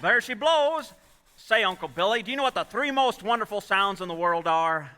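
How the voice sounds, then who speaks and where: A man acts out an earnest, restless young dreamer in old radio-play style. His voice is very bright and highish, with hesitant starts and emphatic bursts, ringing in a hall. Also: lively intonation, moderate pace. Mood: eager. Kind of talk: 1940s radio play acting. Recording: echoey hall, live stage taping.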